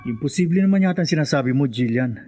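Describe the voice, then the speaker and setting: A man playing a sensible, skeptical, calmly dismissive young man in a radio drama with slightly dull sound. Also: mid-range voice, fast talker, loud music behind the voice, natural voice.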